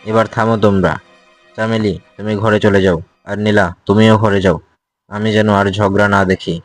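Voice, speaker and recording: A man's voice: lowish voice, level tone, moderate pace, soft background music, close to an everyday voice. A man voicing a harried fox husband in a cartoon, pleading and placating. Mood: exasperated. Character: harried male fox husband caught between two wives. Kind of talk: Bengali cartoon voice acting.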